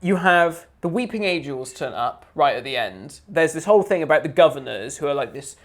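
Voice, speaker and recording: A man's voice: on the high side, expressive, a little fast, fairly bright tone. A man co-hosting a podcast, casually and animatedly retelling a TV plot and acting out the characters' lines. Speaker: podcast co-host. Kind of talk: casual chat.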